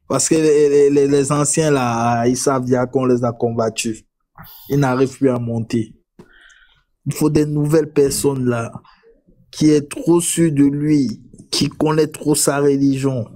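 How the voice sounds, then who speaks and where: Mellow-voiced Cameroonian man debating. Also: mid-range voice, fairly even intonation, unhurried, pauses often. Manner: repeats words when starting sentences. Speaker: live debate participant. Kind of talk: casual online debate chat.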